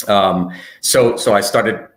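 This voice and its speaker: A man's voice: lowish voice, slow. A man born in Italy whose first language is Italian, speaking as an actor on a panel with many fillers and restarts. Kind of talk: panel discussion answer. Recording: echoey room.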